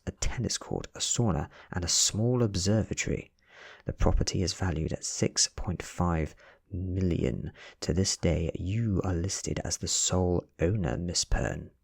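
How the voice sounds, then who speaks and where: British man reading as a tired, husky private detective. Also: sits low, a little mellow, full character voice. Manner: businesslike, questioning. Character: tired, nervous, probing male private detective.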